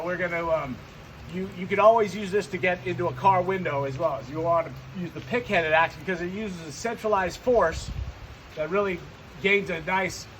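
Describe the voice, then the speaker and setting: High-pitched man teaching, with background noise. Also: a bit bright. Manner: repeats and restarts phrases as he explains. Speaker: firefighting instructor. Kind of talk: hands-on demonstration, unscripted teaching.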